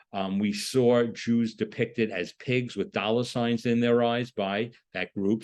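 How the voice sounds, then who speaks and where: A man's voice: on the low side, a little mellow. Emphatic man giving a lecture. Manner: emphatic, explanatory, with rhetorical questions. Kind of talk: lecture on a political topic.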